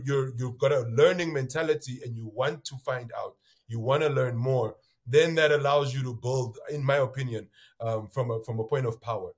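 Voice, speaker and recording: A man from Namibia, a guest on an interview show, speaking loudly and telling stories with quoted voices. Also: medium pitch. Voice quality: loud voice. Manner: acts out bar conversations, lively quoted voices. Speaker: bitcoin entrepreneur guest. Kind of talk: animated interview storytelling.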